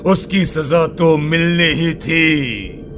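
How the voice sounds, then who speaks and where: A man voicing a vengeful, bitter ghost in wolf form in an animated horror story, drawn-out and menacing. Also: a little high, soft background music.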